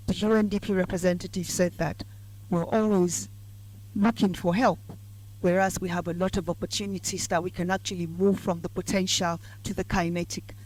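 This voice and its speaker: A woman from Nigeria with a croaky, hoarse voice, giving a formal lecture speech in a measured, emphatic way. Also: on the low side, a little mellow, rough recording. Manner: measured and emphatic, building to points. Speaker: guest speaker at a public lecture. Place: lecture event.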